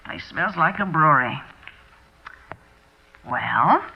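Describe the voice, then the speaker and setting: A woman's voice: low-pitched, lively intonation, slow pace, creaky, highs cut off, full character voice. An actress playing a nagging, impatient landlady in a 1940s radio drama, brusque and scolding, with an American accent.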